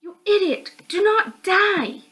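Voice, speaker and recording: A woman's voice: very high voice, lively intonation, slow pace, bright, forceful tone, voice pushed up, heavily acted. A woman voicing a furious, arrogant young girl spirit from a visual novel game, scolding with hidden concern. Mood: angry, scolding.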